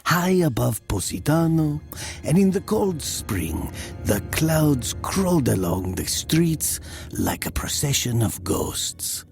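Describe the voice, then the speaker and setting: An actor playing a brooding village man who tells of his past in a measured, theatrical audio drama. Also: on the high side, big pitch swings, creaky, a little mellow, soft background music, exaggerated voice. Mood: haunted, wistful. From southern Italy. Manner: slow, measured, theatrical, with pauses. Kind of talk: dramatic first-person audio drama narration.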